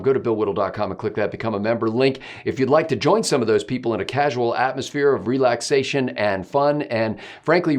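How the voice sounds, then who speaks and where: A man hosting a talk show in a conversational, measured and wordy way. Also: mid-range voice. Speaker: show host. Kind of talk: conversational hosting and promotional plug.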